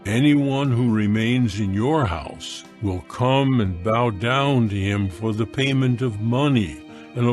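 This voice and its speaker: Solemn man reading scripture slowly. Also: mid-range voice, a little mellow. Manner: solemn, measured.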